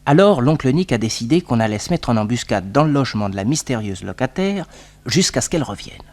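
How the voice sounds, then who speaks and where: An actor playing a detective's eager nephew, telling the case as a lively storyteller in a French radio serial. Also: male voice, medium pitch, fairly quick. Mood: eager, suspenseful.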